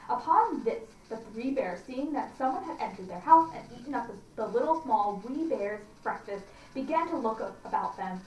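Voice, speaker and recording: A woman reading a children's story aloud for a library audience, deliberately and with small stumbles. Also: on the high side, animated, darkish tone. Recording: echoey room, distant mic, faint noise.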